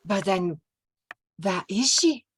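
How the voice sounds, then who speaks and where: A stern older household madame in a radio play. Her husky voice moves slowly, in a scolding guardian's manner. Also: medium pitch, lively intonation. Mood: worried. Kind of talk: radio drama acting.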